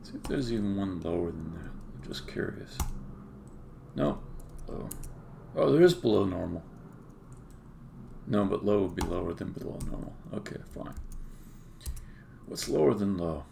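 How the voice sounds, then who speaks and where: Male game developer, low creaky voice, casual think-aloud live-coding commentary, faint typing behind. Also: lively intonation, measured pace, long pauses, dark tone. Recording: background keyboard typing and clicking noise. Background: computer keyboard typing, mouse clicks.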